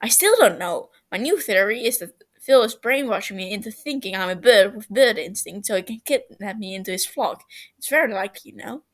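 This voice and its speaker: A woman's voice: mid-range voice, lively intonation, a little fast, lightly acted. Woman voicing a brash, indignant teenage boy. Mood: defensive. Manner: loud, indignant, dramatic. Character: brash, boastful, excitable teenage boy, defensive and foul-mouthed.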